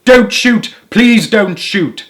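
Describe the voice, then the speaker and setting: A man doing a fretful, shrill storybook mother. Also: sing-song, moderate pace, a bit bright. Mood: alarmed. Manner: anxious, fussing, shrill. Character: fretful mother, a woman turned bird. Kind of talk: children's story read aloud.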